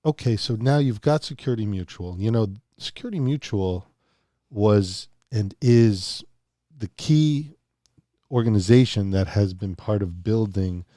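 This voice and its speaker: A man hosting a conversational interview, prompting his guests in a measured way. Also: on the low side, expressive, mellow, no room sound, clean studio sound. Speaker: host, interviewer.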